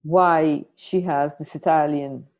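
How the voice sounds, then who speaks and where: A woman hosting a podcast, introducing and questioning in a conversational, reflective way with deliberate pauses. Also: low voice, steady tone, darkish tone. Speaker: podcast host.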